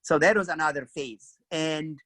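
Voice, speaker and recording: Bright-voiced man with an Ethiopian accent. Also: fairly high pitch, normal speed, dry recording. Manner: earnest, storytelling, frequent "you know" and "kinda". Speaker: career mentor guest. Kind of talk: reflective career interview.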